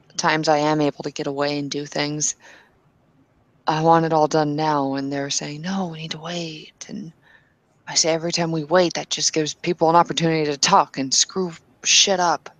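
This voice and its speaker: A woman's voice: low-pitched, steady tone, moderate pace, dry recording, lightly acted. A woman playing a blunt, impatient woman in an in-character tabletop roleplay, speaking in hesitant bursts that turn opinionated.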